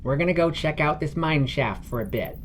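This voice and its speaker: A man's voice: a little high, lively intonation, moderate pace, slight background noise, natural voice. A man voicing a female gnome spellcaster in a fantasy story, with a stern, instructive and wry manner. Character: bossy, dry-humored female gnome spellcaster.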